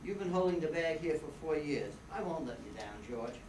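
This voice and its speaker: A man's voice: a little high, animated, a little fast, darkish tone, close to an everyday voice. Distant, slightly noisy, male actor as eager newlywed younger brother, earnest, radio drama. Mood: apologetic. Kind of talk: old-time radio drama acting. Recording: distant echoey room, hiss.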